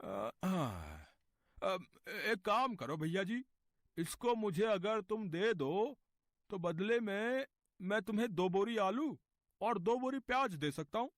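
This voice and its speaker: Man doing a high-pitched, eager vegetable seller. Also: sing-song, takes it slowly, no room sound, clean studio sound, heavily acted. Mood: eager, bargaining. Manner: folksy, haggling. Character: eager bargaining male village vegetable seller.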